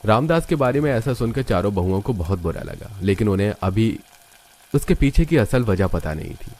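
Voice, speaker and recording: Male narrator, low register, scripted storytelling for a family cartoon. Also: lively intonation, normal speed, a little mellow.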